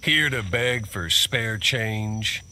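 An actor playing a tough, defiant fighter in a video game, curt and taunting. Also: male voice, lowish voice, very slow, pushed and bright, a little hiss or hum, full character voice.

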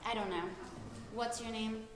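A young woman plays a defensive actress in a stage play. Her high voice is curt and sarcastic. It echoes in a theater hall with some background noise. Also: steady tone, moderate pace, close to an everyday voice. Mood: defensive, indignant. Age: young adult. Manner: curt, indignant, incredulous. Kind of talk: live stage play acting. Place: theater stage with audience, far camera.

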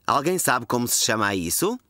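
A man's voice: medium pitch, big pitch swings, brisk, bright, forceful tone, dry recording. A man with a European Portuguese accent playing a friendly, encouraging fire chief in a children's cartoon dub. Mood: friendly, instructive. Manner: cheerful, encouraging, explanatory.

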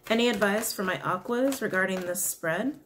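Raspy young woman doing a tarot reading. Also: medium pitch, moderate pace, noisy background. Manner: chatty, giggly, apologetic asides. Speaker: tarot reader. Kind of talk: casual tarot reading to camera. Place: small indoor room, plain wall.

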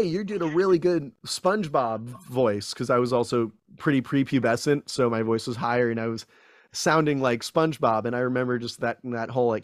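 A man's voice: medium pitch, no room sound. A young man talks through a studio mic on a video call from a small room at home. He is chatty and self-deprecating, rambling with restarts. The sound is dry and close. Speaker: guest voice actor. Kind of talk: casual interview chat.

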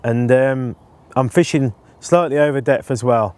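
A man in his forties explaining his canal fishing setup in a relaxed, casual way, with frequent ums, recorded outdoors on a lapel mic. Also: mid-range voice, unhurried. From England. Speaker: angling presenter. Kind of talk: casual instructional talk to camera.

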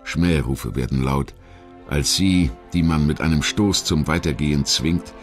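Very deep-voiced man narrating. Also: soft background music. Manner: measured, formal storytelling delivery. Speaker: documentary narrator. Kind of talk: scripted biographical narration.

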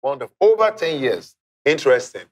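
A man's voice: sing-song, fairly quick. A middle-aged man hosting a television quiz show in a studio, theatrical, drawing out key words, on a lapel mic. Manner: theatrical, swings pitch and drags out key words. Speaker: game show host. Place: indoor TV studio set. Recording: faint music behind.

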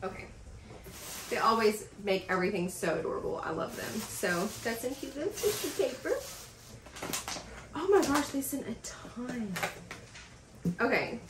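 A woman in her thirties chatting in a casual vlog as she unboxes packages in a home office, gushing and excited, with lots of fillers. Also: fairly high pitch, moderate pace, pauses often, recorded from a distance, background noise. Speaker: vlogger. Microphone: camera across a desk. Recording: roomy sound from off the mic.

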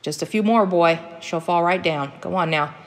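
Woman playing a gruff, cruel old hunter. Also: on the low side, moderate pace, lightly acted. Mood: bossy impatience. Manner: gruff, scolding, folksy rural phrasing. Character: angry, cruel older male hunter berating his son.

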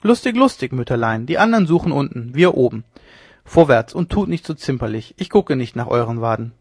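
A man voicing a swaggering, mocking young ship's lieutenant who talks loudly and jeers, in a dry close recording. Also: mid-range voice, lively intonation, normal speed, husky, dry recording. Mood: jeering. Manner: boisterous, jeering, loud.

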